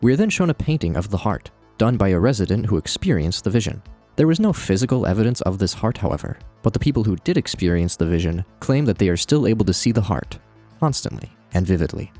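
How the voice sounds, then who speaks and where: A man with a low voice narrates a video essay as its host. His commentary is conversational and animated, with wry asides, over music. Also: fast.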